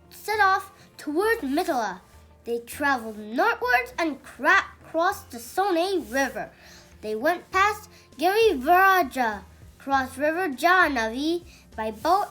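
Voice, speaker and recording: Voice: very high-pitched, very animated, measured pace, sharp tone, faint music behind. A child reading a mythological storybook aloud in a careful, emphatic way, with occasional stumbles over words.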